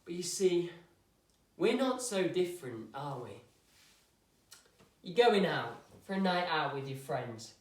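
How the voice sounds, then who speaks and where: Troubled young man, played by a man, confrontational and needling, high voice, far from the mic. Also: very animated, takes it slowly, long pauses. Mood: intense. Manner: intense, accusing, goading. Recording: echoey room from far off.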